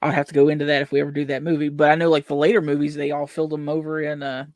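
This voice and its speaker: Southern west virginia guy, young, kinda high gravelly voice, dry close sound, lots of uhs. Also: monotone, racing, a little mellow, dry recording. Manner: rambling, many fillers. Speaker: podcast co-host. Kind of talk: casual chat.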